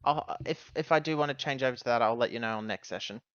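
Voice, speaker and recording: A man's voice: medium pitch, quick pace, fairly bright tone, smooth, dry recording, highs cut off. A man talking casually as a player in a tabletop roleplaying game.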